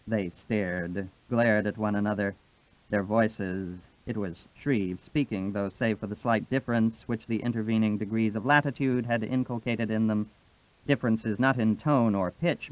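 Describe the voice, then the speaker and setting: A man narrating a literary novel as an audiobook, phrasing long flowing sentences in a measured, expressive way. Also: medium pitch, dry recording. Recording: dry, band-limited, phone-like sound.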